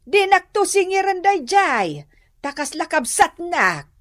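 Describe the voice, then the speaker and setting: A high-voiced, scheming woman with an Ilocano accent, effusive and exaggerated. Her lines swoop widely and stretch out slowly, in broad radio comedy drama style. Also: big pitch swings, fairly bright tone, no echo, clean studio sound, heavily acted. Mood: defiant, boastful. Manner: exaggerated, drawn-out, giggly delivery. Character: scheming, effusive woman borrower, fond of gambling.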